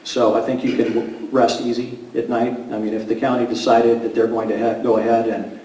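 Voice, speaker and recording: A man's voice: mid-range voice, level tone, moderate pace, mellow, slight background noise. A man giving assured, reassuring remarks at a public meeting. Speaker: association representative. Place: meeting room. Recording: echoey room from far off.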